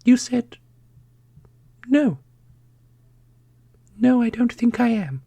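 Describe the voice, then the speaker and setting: A man voicing an ancient, motherly otherworldly custodian who speaks gently and formally, guarded and grieving, in a fantasy audio roleplay. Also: very high voice, lively intonation, very slow, gravelly, husky, no echo, pitched above the performer's own voice, exaggerated voice. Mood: wistful. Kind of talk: ASMR fantasy roleplay acting.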